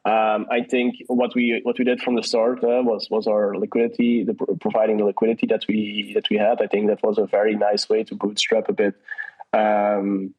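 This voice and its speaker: A man talks through his answers in a casual online chat. His pitch barely moves, and he stops and restarts often. The audio sounds muffled and lo-fi, like a voice call. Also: completely flat delivery, moderate pace. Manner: hesitant, many restarts and fillers. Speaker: guest from a partner project.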